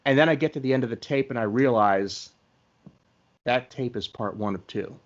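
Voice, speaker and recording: A man's voice: mid-range voice, normal speed, muffled recording. A man hosting a podcast in casual conversation, talking like an enthusiastic fan telling stories. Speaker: podcast host. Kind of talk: casual interview chat.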